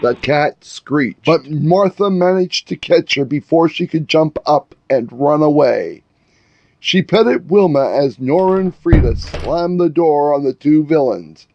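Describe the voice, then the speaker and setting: A man narrating a scripted fairy tale as a storyteller, in a measured way. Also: on the high side, animated, lo-fi sound, noisy background. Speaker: author and narrator.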